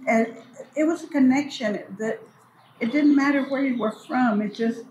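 A woman's voice: high voice, moderate pace, darkish tone. An older woman, a grandmother, casually reminisces in a rambling, anecdotal way, repeating phrases as she trails off. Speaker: storyteller guest, grandmother. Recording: echoey space with background noise.

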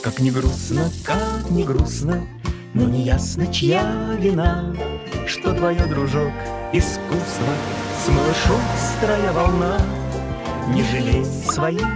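A woman sings a children's cartoon song in a fairly low voice. The delivery is slow and widely melodic, with prominent musical accompaniment. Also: animated, loud music behind the voice.

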